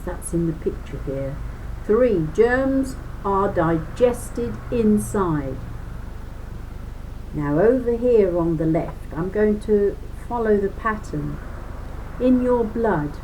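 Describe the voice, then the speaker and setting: A woman's voice: lowish voice, mellow, a little hiss or hum, poor audio. A woman reading a children's book aloud as a lesson, explaining as she goes with chatty asides and stressing key words.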